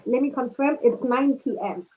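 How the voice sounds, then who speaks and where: A young woman from South Africa, a law student, chatting casually and relaxed with "like" fillers over a video call. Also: high voice, normal speed, noisy background. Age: young adult. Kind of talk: casual chat. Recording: tinny phone-like call line.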